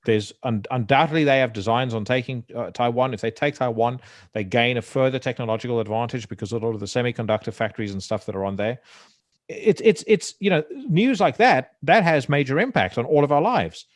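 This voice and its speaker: A podcast host speaks bluntly, with plenty of swearing and stumbling restarts. His voice sits at an ordinary middle pitch. The recording is dry and close, with no room sound. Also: animated, brisk, no room sound. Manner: blunt, profane, opinionated with frequent restarts. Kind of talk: casual chat.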